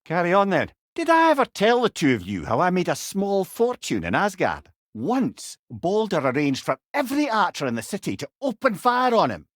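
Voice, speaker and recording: Wry old Scottish sage, lively intonation. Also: male voice, sits high, normal speed, hardly any pauses, dry recording, clean studio sound, full character voice. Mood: amused, chatty. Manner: wry, eloquent, lilting storyteller's delivery. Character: Mimir, wise wry old male storyteller and companion. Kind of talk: video game character dialogue.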